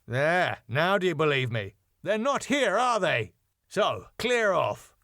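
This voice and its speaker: A man's voice: on the high side, very animated, takes it slowly, pushed and bright, no echo, clean studio sound, voice pushed up, full character voice. A man voicing a pompous, sarcastic middle-aged male venue owner. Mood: haughty. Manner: fussy, sarcastic, falsely polite.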